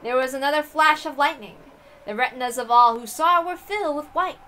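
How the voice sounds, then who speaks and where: A woman's voice: sits high, fairly quick, pushed and bright, slight background noise. A woman reading a visual novel aloud as dramatic scripted narration.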